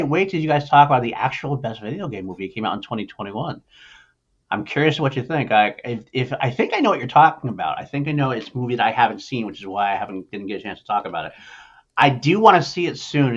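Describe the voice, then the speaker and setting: Fast-talking, animated man hosting a chat. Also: medium pitch, breathy edge, highs cut off. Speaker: podcast host. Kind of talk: casual pop culture chat.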